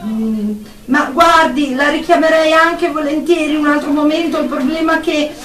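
An actress playing an anxious, deferential psychotherapist in a radio drama, speaking haltingly and apologetically on a phone call to a superior. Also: female voice, high-pitched, steady tone, a bit bright, a faint crowd, slight background noise. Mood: anxious, apologetic. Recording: echoey room, distant from the mic.

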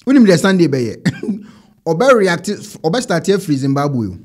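A man in his thirties with a Ghanaian accent, giving animated commentary as an online show host into a close desk microphone. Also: fairly high pitch, a little slow. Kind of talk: animated commentary on trending videos. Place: indoor home studio.

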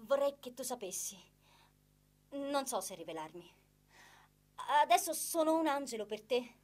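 A woman's voice: high-pitched, big pitch swings, normal speed, darkish tone. A woman playing a coy, guarded young noblewoman in love, in a theatrical radio drama. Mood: coy, confiding.

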